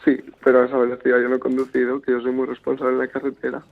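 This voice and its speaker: A young adult man with a Spanish accent from Spain talks casually on the telephone. His voice has a fry-laden creak, and the line sounds dull and low in fidelity. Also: monotone, rapid-fire. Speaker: prank call victim, journalism student. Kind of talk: casual reactive phone conversation.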